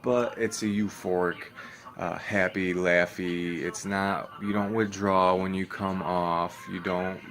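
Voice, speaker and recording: A young man vlogging in a casual, low-energy, nearly monotone way, with many ums and restarts. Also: slow pace. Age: young adult. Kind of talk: casual vlog talk to camera.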